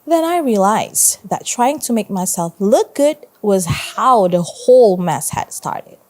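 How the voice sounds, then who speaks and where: A woman teacher reading a story aloud to children in an expressive, informal way, with conversational asides. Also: medium pitch, takes it slowly.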